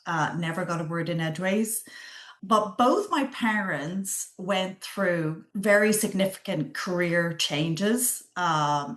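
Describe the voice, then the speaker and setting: A woman's voice: fairly low pitch, reverberant. A middle-aged Irish woman telling stories in a chatty way in an interview, with frequent ums. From west of Ireland. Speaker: guest, growth executive. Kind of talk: interview conversation.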